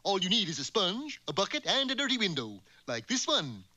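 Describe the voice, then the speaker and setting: A dopey cartoon moose lectures as a self-assured expert. His delivery is lively and goofy, on a noisy old soundtrack. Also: high-pitched, a little fast. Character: dim, self-assured moose playing know-it-all instructor. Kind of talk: mock instructional cartoon presentation.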